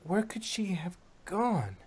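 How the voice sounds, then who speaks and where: A man voicing a worried, earnest, gentle female pony in a storybook reading, soft and anxious. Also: high-pitched, big pitch swings, takes it slowly, slightly rough, heavily acted.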